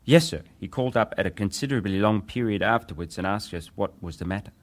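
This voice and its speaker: An actor playing a ship's wireless operator, a respectful but defensive witness giving clipped testimony in a dramatised hearing. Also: male voice, on the low side, fast talker, lightly acted. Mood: respectful, matter-of-fact. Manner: clipped, respectful, matter-of-fact.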